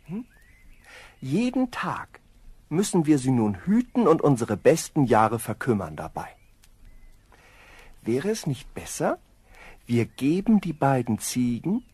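An actor playing a lazy, slow-witted young farm lad in a children's fairy-tale radio play, musing in sluggish, self-satisfied hums. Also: male voice, mid-range voice, sing-song, warm tone, slightly rough, no echo. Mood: musing, complaining.

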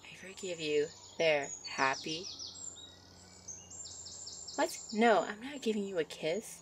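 A woman voicing a shy, sweet young fairy in an ASMR roleplay, with a soft, coy, flustered manner. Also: lowish voice, lively intonation, slow pace, slight background noise. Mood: pouting. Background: birdsong and outdoor ambience.

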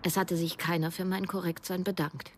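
A woman's voice: lowish voice, level tone, moderate pace, slight background noise, natural voice. An actress playing a struggling writer in her thirties, narrating and speaking in a radio drama with a dry, wry manner. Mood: wry, reflective. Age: 30s. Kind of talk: first-person radio drama narration and dialogue.

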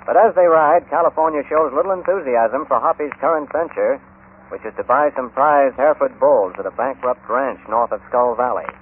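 A man's voice: mid-range voice, brisk, slight background noise. An American man announcing and narrating a vintage radio western in a dramatic, declamatory scripted style. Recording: muffled, narrow vintage radio recording.

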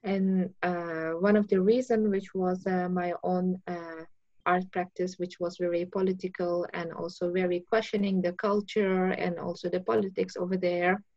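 A woman's voice: on the low side, flat delivery, moderate pace, darkish tone, no room sound, dull audio. A young woman from Afghanistan, an artist, speaking in a video-call interview with plain, searching phrasing. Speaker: artist guest.

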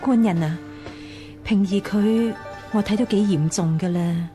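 An actress playing a practical, blunt first wife in a radio drama, matter-of-fact and admonishing. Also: female voice, medium pitch, measured pace, dark tone, soft background music, lightly acted. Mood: concerned, admonishing. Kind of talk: Cantonese radio drama acting.

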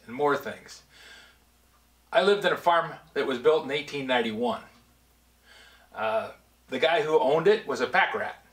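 A man's voice: medium pitch, a bit bright. An older man giving a casual, folksy tour of his workshop, rambling through anecdotes with dry asides. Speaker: woodworker giving a shop tour. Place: cluttered basement workshop, indoors. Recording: echoey workshop room.

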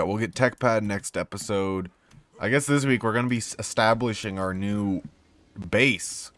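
A man with a slightly hoarse, failing voice, casually rambling as he plays and talks to himself and his creatures. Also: on the low side. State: losing his voice, sore throat. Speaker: gaming YouTuber. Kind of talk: casual gameplay commentary.

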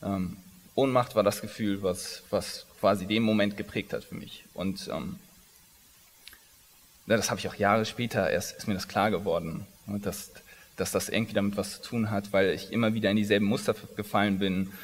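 A man's voice: lowish voice, steady tone, normal speed. A man in his thirties, born in Iran, speaking on stage in an interview, often stopping and restarting his sentences. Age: 30s. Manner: hesitant, many restarts and fillers. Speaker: company founder, interviewee. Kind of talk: onstage conversational interview. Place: large conference hall stage. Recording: long reverb of a big hall.

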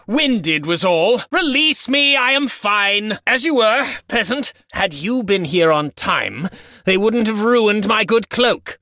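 Snobby medieval nobleman, pompous, contemptuous, sharp bright tone, dry close sound, video game barks. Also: very high-pitched, no echo, exaggerated voice. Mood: scornful, commanding. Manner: pompous, sneering, ornate archaic diction. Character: haughty, contemptuous medieval nobleman lording over peasants. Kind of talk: video game voice lines, mock-archaic battle barks.

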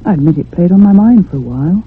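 Actress as anxious woman, very husky, literary radio-play storytelling, tinny narrow sound. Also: fairly low pitch, lively intonation, quick pace. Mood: brooding, measured. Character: anxious, restless woman recounting her haunting. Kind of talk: dramatic first-person radio narration. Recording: tinny, band-limited old radio sound.

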